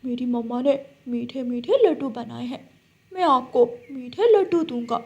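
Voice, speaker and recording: A woman's voice: high-pitched, animated, normal speed, darkish tone, breathy edge, muffled recording, heavily acted. A woman voicing a jealous, wheedling young male crow in a children's cartoon story. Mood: sly. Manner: wheedling, sly.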